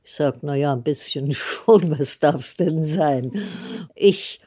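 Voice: mid-range voice, takes it slowly, warm tone. An interviewee recalling events casually, in a colloquial, anecdotal way. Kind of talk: casual recollection.

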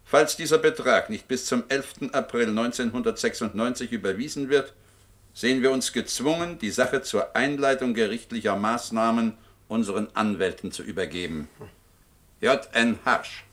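A man's voice: mid-range voice, moderate pace, a bit bright, lightly acted. A man playing an impersonal debt-collection official reading out formal letters in a German radio play. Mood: coldly formal. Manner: formal, bureaucratic.

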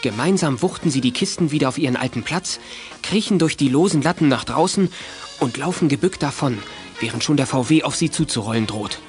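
A man's voice: medium pitch, expressive, a little fast, over music. A man giving scripted narration for a youth detective radio play.

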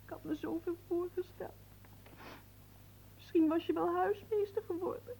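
An actress playing a young peasant sweetheart in a radio play, theatrical and emotional, naive, doting and quick to jealousy. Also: female voice, very high voice, fairly even intonation, gravelly, dark tone, a little hiss or hum. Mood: tearful.

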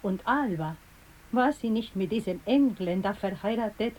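A woman's voice: medium pitch, expressive, normal speed, a little mellow, slight background noise, natural voice, low-quality recording. An actress playing a forgetful old neighbourhood woman in a radio drama, slipping Spanish blessings into her speech and repeating herself. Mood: brooding, confused. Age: older. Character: old, squint-eyed, forgetful Spanish-speaking neighbourhood matriarch.

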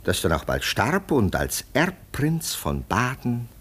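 A man acting a scornful, self-assured police lieutenant in a German radio play, with a husky, emphatic, sardonic delivery. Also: medium pitch, huge pitch swings, slow pace, a bit bright, heavily acted. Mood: confiding.

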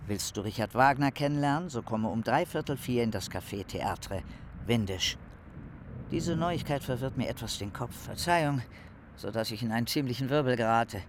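Man playing an animated, eccentric young philosopher. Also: mid-range voice, moderate pace, slight background noise, lightly acted. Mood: excited, polite. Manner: theatrical, wry. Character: eccentric, bookish young male philosopher, excitable and self-important. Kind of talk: dramatic reading of letters in radio play.

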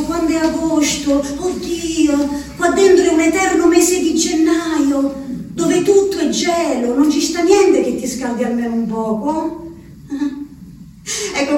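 A woman's voice: high voice, darkish tone. An actress playing an unhinged, wistful peasant woman shut in an institution, with an Abruzzese accent, in an incantatory theatrical radio monologue addressed to her absent beloved. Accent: central-southern Italian, Abruzzese. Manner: slow, incantatory, repetitive delivery. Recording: roomy echo, distant mic, faint noise.

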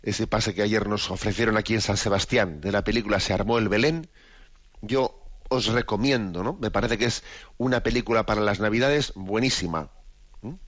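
Older bishop musing in a low voice. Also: male voice, moderate pace, fairly bright tone, dry recording. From Spain. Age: 60s. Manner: rambling and reflective, many fillers and restarts. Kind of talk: informal spoken reflection. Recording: dry, dull lo-fi audio.

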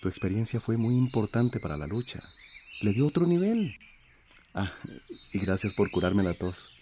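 Mellow, low-voiced kind countryman. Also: very animated, normal speed, slight background noise. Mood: warm, tender. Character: kind, forgiving countryman in love. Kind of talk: radio drama acting.

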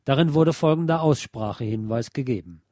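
A man's voice: medium pitch, brisk, dark tone, dry recording, clean studio sound. A man presenting a scripted, lecture-like essay as a narrator. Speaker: presenter reading a radio essay.

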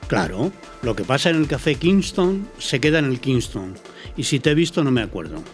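A man with a Spain accent presenting a scripted educational dialogue in a clear, explanatory way. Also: medium pitch, sing-song, normal speed, faint music behind.

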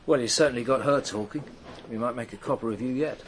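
An actor playing a shrewd middle-aged police inspector in a radio drama, with a dry, teasing, commanding way of talking. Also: male voice, mid-range voice, quick pace, a little hiss or hum, lightly acted, rough recording. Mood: wry. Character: shrewd, wry older male police inspector.

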